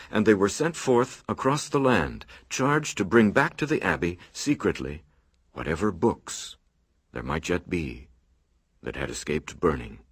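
Actor as a deep, solemn monk chronicler. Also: male voice, husky, no echo, studio quality, heavily acted. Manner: solemn, oratorical. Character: solemn monk chronicler reciting his order's sacred history. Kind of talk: archaic, scripture-like radio drama recitation.

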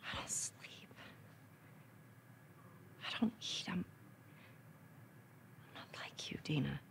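A woman's voice: slow pace, a bit bright, whispered. A woman with an American accent playing a troubled, stubborn young woman in a video game drama, curt and tense. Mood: subdued, resolute. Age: young adult.

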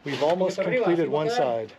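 A middle-aged man with a slightly high voice talks easily outdoors in a sunny boat yard. He rambles a little, restarting sentences and dropping fillers, into an action camera held at arm's length. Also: big pitch swings, moderate pace, crowd noise. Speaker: vlogger rebuilding a trimaran. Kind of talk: casual walk-and-talk vlog.

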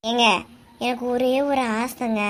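A woman's voice: fairly high pitch, level tone, measured pace, a little hiss or hum, close to an everyday voice. A woman voicing a sulky young village wife in a cartoon comedy, speaking with a Kanyakumari Tamil accent in a whiny, coaxing manner.

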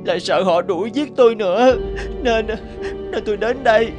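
A man voicing a small, meek young male crow in an animated fable, speaking in a pleading, humble way. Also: high-pitched, normal speed, over music, close to an everyday voice.

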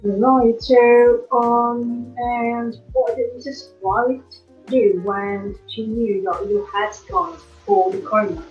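A woman's voice: a little high, fairly even intonation, slow. A young woman playing a probing, dismissive male county attorney in an amateur student drama, with hesitant delivery. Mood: questioning. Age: young adult. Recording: muffled band-limited recording, music behind.

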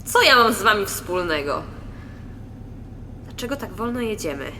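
A woman's voice: medium pitch, sing-song, normal speed, sharp tone. A woman playing an aloof, cynical commuter in a cold, dismissive radio drama monologue. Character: aloof, cynical female commuter keeping her distance. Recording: noticeable ambient background noise.

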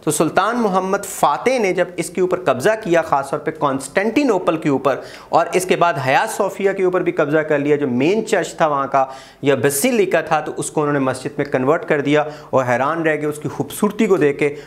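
A man gives an exam-prep history lecture. He gestures a lot, repeats key dates and often pauses with "uh". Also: fairly high pitch. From Pakistan. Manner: animated, gestures widely, repeats key dates, frequent "uh" fillers. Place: small room in front of a whiteboard. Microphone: lapel mic.

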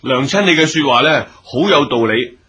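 An actor playing a dutiful young scholar son in a radio drama, speaking earnest, respectful Hong Kong Cantonese. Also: male voice, mid-range voice, expressive, normal speed, pushed and bright, a little hiss or hum. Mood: earnest, obedient. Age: young adult.

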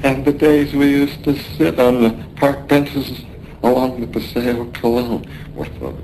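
An actor playing a dying, wistful seasoned sailor in a radio drama, talking haltingly and wearily in a weak, gravelly voice. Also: male voice, close to an everyday voice. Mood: fond reminiscing. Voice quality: gravelly, creaky. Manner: halting, weary, drifting. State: weak, failing. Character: dying, wistful seasoned American sailor reminiscing to his mate. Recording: noisy radio drama with rumbling ambience.

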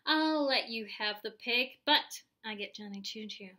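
A woman's voice: on the high side, measured pace, bright, forceful tone. A woman voicing a stubborn young boy in a storybook, with a melodramatic, pleading manner. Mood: bargaining. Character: dramatic, stubborn young boy attached to his toys.

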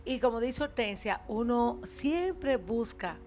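An older woman, a guest on a TV chat set, speaking slowly and thoughtfully into a handheld mic. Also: fairly high pitch, expressive, darkish tone, soft background music. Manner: slow, drawn-out, thoughtful. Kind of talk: casual TV chat. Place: TV studio set.

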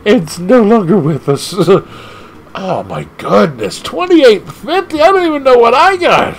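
A man casually commenting on an arcade game as he plays, excitable and laughing often, leaping from squeaky highs to low growls. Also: unhurried, slight background noise. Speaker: gaming channel host.

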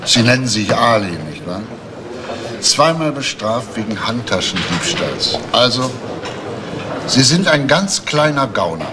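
An actor playing a firm, paternal police detective, deliberate and insistent in a crime radio play. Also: male voice, mid-range voice, sing-song, a crowd in the background. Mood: probing, firm. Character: calm, probing male police detective, paternal but firm. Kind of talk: German radio crime drama acting. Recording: noisy retro radio drama recording.

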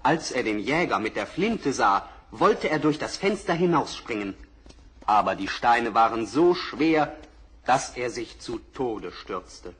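A male storyteller with a lively, melodic delivery, reading a fairy tale to children. A faint hiss and crackle from the old vinyl runs under his voice. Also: mid-range voice, unhurried. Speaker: narrator. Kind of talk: scripted fairy-tale narration. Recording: crackly 1960s vinyl record.

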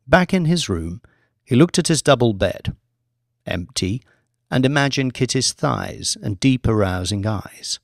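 A man reading a scripted audiobook story in a measured, deliberate storytelling manner. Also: lowish voice, vocal fry, no echo, clean studio sound. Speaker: audiobook narrator, author reading own book.

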